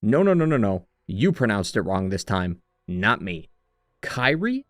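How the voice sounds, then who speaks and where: A man's voice: on the low side, big pitch swings, measured pace, dry recording. Dry-recorded sardonic male narrator. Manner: sardonic, wry asides. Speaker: video essayist and narrator. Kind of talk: scripted retrospective narration with commentary. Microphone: close, dry voice-over mic.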